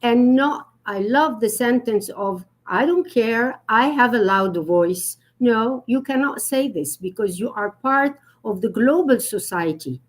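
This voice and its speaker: Earnest high-voiced woman etiquette expert. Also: animated, measured pace, hardly any pauses. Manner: earnest, explanatory, with frequent fillers and restarts. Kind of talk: conversational live-stream talk.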